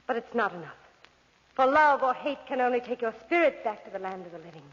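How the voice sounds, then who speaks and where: Give this ancient undead spirit woman, played by an actress, a high voice with sweeping, dramatic swoops. She is possessive, cold and ominous, commanding in the manner of 1940s radio horror, inside a long echoing reverb. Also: expressive, lightly acted. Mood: coldly reasoning. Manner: slow, ominous, commanding delivery with drawn-out emphasis. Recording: muffled old radio recording, long hall reverb.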